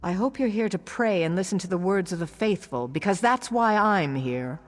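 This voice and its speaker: Long reverb surrounds an actress as a stern, pious woman in a fantasy game. Her fairly low voice moves with animated, reproving inflection. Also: normal speed, close to an everyday voice. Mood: scolding.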